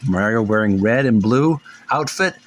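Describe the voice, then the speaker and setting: A man's voice: lowish voice, expressive, normal speed, slight background noise. Rambling, folksy man hosting casually. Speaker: host of a retro gaming channel. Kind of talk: casual show-and-tell commentary, reading book captions aloud.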